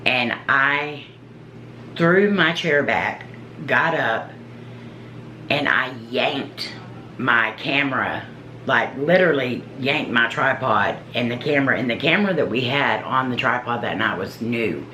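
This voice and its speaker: A woman with a deep, low voice, a paranormal investigator telling her own story in a casual, earnest, halting way. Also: expressive, pushed and bright, a little hiss or hum. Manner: earnest, halting, searching for words. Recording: roomy echo.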